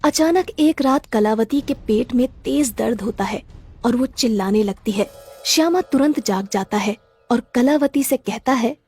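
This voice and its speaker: A woman's voice: fairly high pitch, unhurried, a little mellow, slightly rough. A woman narrator reading a scripted children's story.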